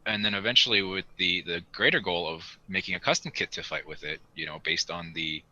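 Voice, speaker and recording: A man's voice: low voice, steady tone, a little fast, pushed and bright, dull audio. A man hosting a casual hobby podcast, conversational and steering the discussion. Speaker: podcast host.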